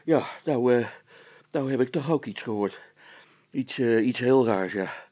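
A man's voice: medium pitch, unhurried, darkish tone, dry recording, natural voice. A man voicing a blustering village policeman who stammers, repeats himself and is secretly scared, in a storybook reading. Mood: shaken, sheepish.

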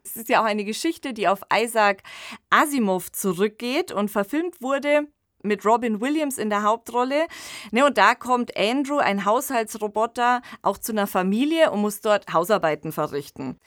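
A woman's voice: a little high, lively intonation, normal speed, a bit bright, no echo, clean studio sound. A woman co-hosting an analytical discussion, explaining reflectively in a conversational way and often tagging phrases with "ne". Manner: explanatory, reflective, frequent "ne" tags. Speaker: podcast co-host and expert commentator.

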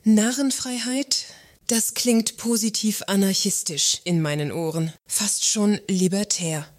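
A woman playing a self-assured, provocative works council member, speaking deliberately and assertively. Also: mid-range voice, dry recording, natural voice. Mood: confident, pointed. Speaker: actor in satirical radio play. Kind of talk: German radio play acting.

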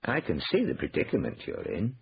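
Man voicing a sly, rueful older gentleman, pitch swooping. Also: huge pitch swings, a little fast, vocal fry, husky, highs cut off, exaggerated voice. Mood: politely sly. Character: shrewd older man, feigning regret, slyly composed.

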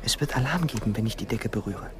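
A man's voice: on the low side, expressive, quick pace, noisy background, close to an everyday voice. An actor playing a desperate, childlike and unstable confined man of thirty in a radio drama. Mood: desperate, agitated. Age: 30s.

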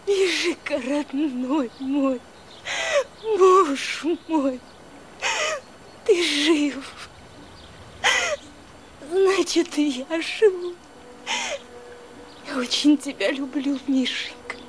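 A woman's voice: sits high, extremely slow, pauses often. An actress playing a devoted, emotional woman who pleads fervently in a film drama. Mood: tender devotion. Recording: noisy film soundtrack.

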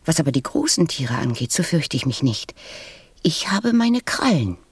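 A woman playing a proud yet tender flower in a radio play, speaking softly and wistfully. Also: deep voice, big pitch swings, normal speed, no room sound, exaggerated voice. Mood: brave, wistful. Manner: soft, breathy, wistful. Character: proud, tender female flower, vain but brave.

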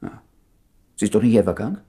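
An actor playing a concerned, probing middle-aged doctor and old friend, gently teasing, in a German radio play. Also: male voice, lowish voice, expressive, fairly quick, slightly rough. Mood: probing, hesitant.

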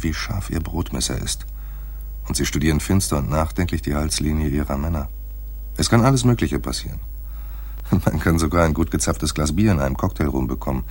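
Noir radio lead: sardonic private detective, extremely low, rough-edged husky voice, dry delivery. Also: male voice, darkish tone. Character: wry, hard-boiled male private detective, narrating. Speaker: lead actor and narrator. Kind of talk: noir radio play narration and dialogue.

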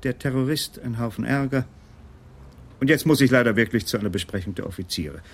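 A male actor playing a coolly callous police officer in a radio crime play, smooth, official and self-justifying. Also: mid-range voice, a little fast, slight background noise, close to an everyday voice. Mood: defensive. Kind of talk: German radio crime drama acting.

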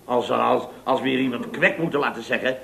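A man's voice: mid-range voice, fairly even intonation, brisk, gravelly, pushed and bright. An actor playing a blustery, exasperated male contractor boss in a radio comedy, speaking emphatically and theatrically and repeating himself. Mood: exasperated scolding. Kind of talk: 1970s radio comedy acting. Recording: noisy vintage radio recording with clicks.

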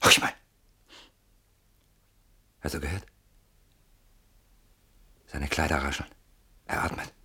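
An actor in a radio drama playing a fearful, suspicious husband, speaking in a hushed, urgent, husky voice. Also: male voice, rumbling low, little pitch movement, slow pace, pauses often, exaggerated voice. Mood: uneasy. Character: fearful, suspicious husband convinced a stalker lurks outside. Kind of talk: German radio drama acting.

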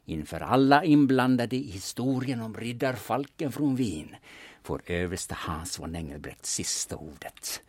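A man playing a shrewd, secretive older veteran turned sleuth in an audio drama, husky and deliberate in a theatrical way. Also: sits low, no echo, exaggerated voice. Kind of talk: serial audio drama acting.

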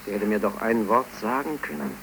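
A man's voice: on the low side, fairly bright tone. A man acting a bitter, mocking, down-at-heel young unemployed man with a sardonic delivery in a 1930s radio play. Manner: sardonic, deliberate. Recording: noisy crackly 1931 radio recording.

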